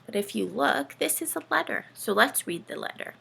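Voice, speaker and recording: Animated woman host with a gravelly, creaky voice. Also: on the high side, moderate pace. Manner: animated, addresses children with frequent questions. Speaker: children's read-aloud host with a puppet sidekick. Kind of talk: playful children's storytime presenting and read-aloud.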